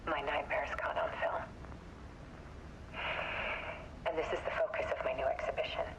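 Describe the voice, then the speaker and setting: An actress playing a haunted, weary photographer who gives a subdued, halting, somber confession in a dramatic video game monologue. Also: female voice, low voice, fairly even intonation, natural voice. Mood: grimly resolute. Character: haunted woman photographer recounting her troubled relationship, weary and bitter. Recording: dull lo-fi sound with clear noise.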